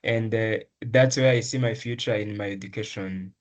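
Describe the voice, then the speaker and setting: A young man from Rwanda, a medical student, answering interview questions in an earnest, measured way with frequent pauses and restarts. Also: on the low side, steady tone. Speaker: medical student, essay prize winner.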